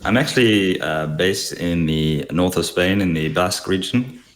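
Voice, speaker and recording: A man speaks as an interview guest. His voice is extremely low, and he answers haltingly, pausing often as he goes. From Zimbabwe. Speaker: podcast guest, software developer.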